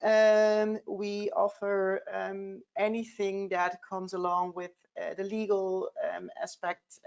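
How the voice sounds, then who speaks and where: A woman with a Dutch accent hosting a business webinar, speaking in a measured way with frequent fillers. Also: on the low side, highs cut off. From Netherlands. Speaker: tax partner hosting a webinar.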